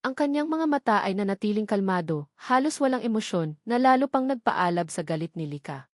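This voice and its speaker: A woman's voice: mid-range voice, darkish tone, no echo. Filipino woman narrating drama, dry close sound. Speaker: narrator of a romance audio story.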